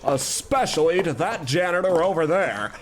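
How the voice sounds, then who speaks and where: A man's voice: on the high side, lively intonation, normal speed, noisy background, natural voice. A man playing a pompous greedy studio boss. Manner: haughty, dismissive, bossing staff around. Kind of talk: 1940s-style radio play acting.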